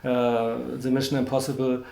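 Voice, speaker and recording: A man with a German accent conducting a conversational film interview, telling anecdotes in a rambling, halting way. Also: mid-range voice, level tone, a little mellow. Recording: echoey room.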